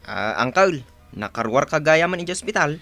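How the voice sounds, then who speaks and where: An actor playing a sheepish, apologetic younger village neighbour in a radio drama, with an Ilocano accent. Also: male voice, a little high, big pitch swings, moderate pace, gravelly, pushed and bright, faint music behind, full character voice. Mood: nervous, placating.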